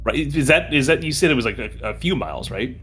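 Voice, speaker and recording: A man's voice: medium pitch, lively intonation, fast talker, a bit bright, husky. A man chatting casually as a player in a tabletop game, describing what his character does.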